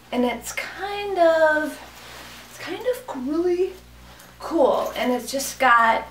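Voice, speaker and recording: A hoarse woman in her thirties gushes about her new clothes in a loose, chatty way full of fillers. Her slightly high voice has a gravelly fry. She sounds a step back from the mic, in a small room that echoes. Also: a bit bright, recorded from a distance, a little hiss or hum. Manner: chatty, gushing, many fillers like "kinda" and "like". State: hoarse from a cold. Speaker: fashion vlogger. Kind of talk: casual clothing haul chat. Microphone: camera mic from a few feet away.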